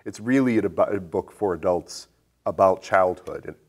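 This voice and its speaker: Low-voiced man hosting a casual film discussion. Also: moderate pace. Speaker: podcast host.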